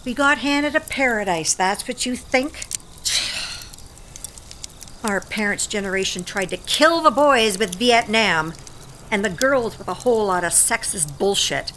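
Blunt, bright-voiced weary older working woman. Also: medium pitch, expressive, takes it slowly, slight background noise, poor audio. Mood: angry, sardonic. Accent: American. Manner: defensive, sardonic, blunt folksy talk. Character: weary, proud older woman, itinerant warehouse worker. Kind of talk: audio drama acting.

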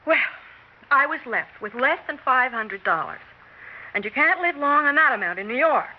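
An actress playing a determined, excitable young would-be star in a 1960s radio drama, dramatic and insistent in her telling. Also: high-pitched, moderate pace, a little hiss or hum. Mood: earnest recounting. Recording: dull old radio broadcast, music behind.

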